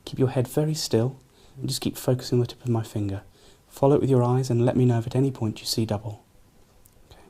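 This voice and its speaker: A man's voice: medium pitch, fairly even intonation, fast, mellow. A man working as a doctor, demonstrating a clinical exam with polite, courteous instructions to a patient.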